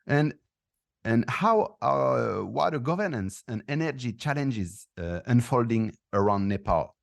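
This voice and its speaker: A man hosting a podcast, asking measured interview questions with occasional fillers. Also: medium pitch, lively intonation, creaky. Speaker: podcast host.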